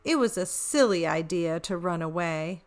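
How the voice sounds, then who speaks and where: A woman's voice: fairly low pitch, lively intonation, moderate pace, voice pushed down. A woman voicing a young female beagle, a curious, earnest and emotive storybook heroine. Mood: tearful, sorry.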